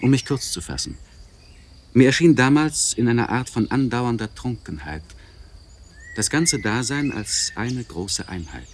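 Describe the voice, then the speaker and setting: A man playing a melancholy, learned nobleman in a literary radio monologue, speaking in a solemn, reflective, measured way with emphatic swells. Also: medium pitch, lively intonation, slight background noise, close to an everyday voice. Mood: fervent remembering. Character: melancholy, learned nobleman confessing a lost inner vigour.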